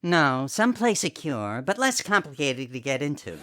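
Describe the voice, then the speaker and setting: A man's voice: on the high side, big pitch swings, moderate pace, a bit bright. A man voicing a wry woman in an old-time radio comedy, telling things with comic timing. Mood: earnest storytelling. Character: sassy, frightened-sleuth woman gang member in radio sitcom. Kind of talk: 1940s-style radio comedy acting.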